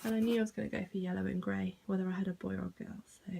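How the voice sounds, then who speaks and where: A woman's voice: medium pitch, flat delivery, racing, gravelly, dark tone, a little hiss or hum. A young British woman giving a casual, self-deprecating home tour in an unpolished, informal way. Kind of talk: casual informal chat to camera.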